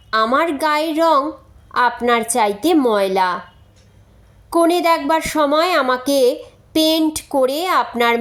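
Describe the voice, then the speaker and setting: A woman reading aloud as a candid, courteous young woman writing a frank letter in a story. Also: high-pitched. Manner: frank, polite, deliberate.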